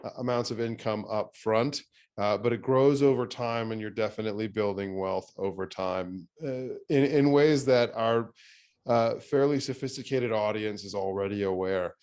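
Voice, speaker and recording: A man hosting a real estate podcast interview, talking in a relaxed way with many fillers and restarts. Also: lowish voice, normal speed, clean tone. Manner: thinks aloud with fillers and restarts. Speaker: podcast host. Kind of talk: conversational interview.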